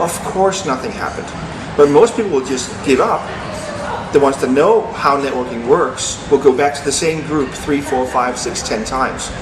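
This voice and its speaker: Danish-born man in an echoey room. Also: medium pitch. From Denmark. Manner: earnest, steady motivational delivery. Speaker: interview guest. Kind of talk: business interview. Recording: echoey room with background noise.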